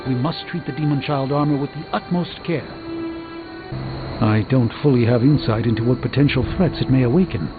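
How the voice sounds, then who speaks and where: An actor playing an earnest, formal superhero sorcerer burdened by regret in a video game, speaking in an articulate, measured way. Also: male voice, mid-range voice, phone-quality sound, natural voice. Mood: grave. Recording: band-limited game audio with background music.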